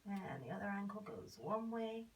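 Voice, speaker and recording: Hoarse woman with a cold, cueing exercises. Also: medium pitch, moderate pace, a little hiss or hum. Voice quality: hoarse, often whispery. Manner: calm step-by-step cueing, counting beats. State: has a cold, voice nearly gone. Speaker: belly dance teacher. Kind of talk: instructing an exercise warm-up. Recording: voice a bit far from the mic.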